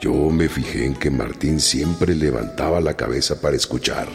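An actor playing a gruff but courtly Mexican revolutionary chief in a radio drama, speaking with colloquial authority. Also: male voice, very deep voice, moderate pace. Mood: slow, low, musing. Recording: radio drama with music and crowd behind.

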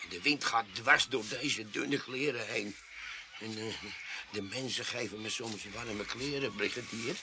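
An actor playing a shifty, boastful tramp and self-styled war veteran in a radio play, stammering and pleading in a self-pitying way. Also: male voice, fairly low pitch, a little slow. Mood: wheedling. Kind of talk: radio drama acting. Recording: faintly noisy radio play recording.

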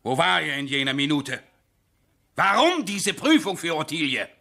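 Radio play baron: impassioned, impulsive lover, tense ringing voice, highly animated melody. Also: male voice, moderate pace, vocal fry, no echo, heavily acted. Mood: anguished, defiant. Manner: impassioned, dramatic, swinging from hushed to shouting. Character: passionate, anguished baron in love, headstrong and impulsive. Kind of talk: German radio play acting.

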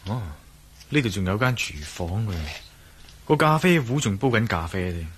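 An actor playing an inquisitive, bold male adventurer in a radio drama, with a Hong Kong Cantonese accent and a deliberate, pondering manner. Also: fairly low pitch, animated, a bit bright, slight background noise. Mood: hushed wondering. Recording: radio drama with clear music behind.

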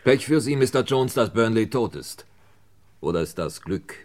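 An actor playing a stern, probing police inspector in a radio crime play, curt and sardonic. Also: male voice, on the low side, animated, normal speed, close to an everyday voice. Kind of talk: German radio crime play acting, 1950s to 80s.

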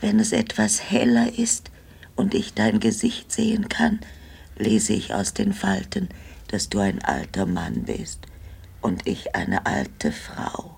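An actress playing a frail, tender old grandmother in a radio drama, forgetful and resigned, her voice gravelly and half-whispered. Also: a bass voice, huge pitch swings, darkish tone, exaggerated voice. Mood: tender, musing. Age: older. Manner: slow, musing.

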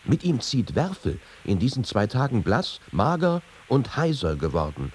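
A man's voice: mid-range voice, very animated, normal speed. A man reading a historical written account in a literary style. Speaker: reader of a historical quotation. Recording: faint hiss behind voice.

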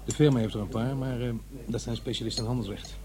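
A man's voice: mid-range voice, fairly even intonation, fast talker, a crowd in the background, close to an everyday voice. An actor playing a practical, sceptical middle-aged divorced father in a Netherlands Dutch radio play, both talking and narrating. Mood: probing, defensive.

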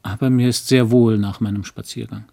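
A man reads a dreamy young wife who doubts herself, her thoughts spoken in a husky half-whisper. The voice sits low and breathy. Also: fairly even intonation, fairly quick, dark tone. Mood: bright evasive. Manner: brooding inner monologue, partly whispered. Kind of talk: audiobook reading.

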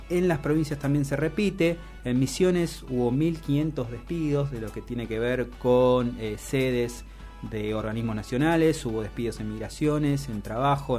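A man with an Argentine accent delivers news commentary as a radio co-host. He speaks in a mid-range voice, haltingly, doubling back on his phrases. Soft guitar music plays behind him. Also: mid-range voice. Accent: Argentine Spanish.

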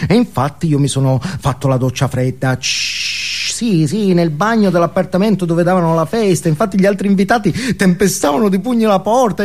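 An actor playing a neurotic, ashamed, self-absorbed man who stammers and repeats words as he justifies himself in a comic stage monologue. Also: sits high. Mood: ashamed, agitated.

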